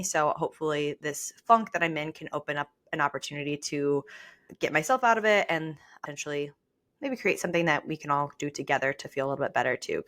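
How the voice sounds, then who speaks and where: A woman's voice: sits low, fairly bright tone. A young adult woman talking casually and conversationally as a podcast co-host.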